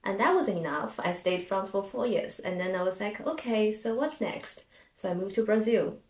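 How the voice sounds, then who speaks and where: A woman in her thirties from China, co-hosting a podcast and speaking in a soft, hesitant way. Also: medium pitch, expressive, moderate pace, distant mic. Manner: soft, hesitant, laughs easily. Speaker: podcast co-host. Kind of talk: casual podcast conversation. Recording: echoey room, set back from the mic.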